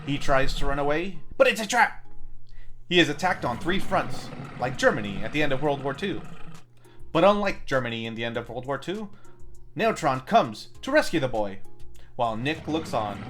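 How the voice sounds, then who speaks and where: Animated man narrating a deadpan movie recap. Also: mid-range voice, normal speed. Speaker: film review host. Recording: music bed under the voice. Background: music.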